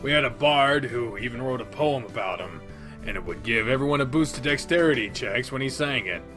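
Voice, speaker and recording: A man voicing an excitable young male animal-person who boasts about his tabletop game characters. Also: mid-range voice, a little fast, music in the background, close to an everyday voice. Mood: chatty. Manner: giggly, boastful storytelling.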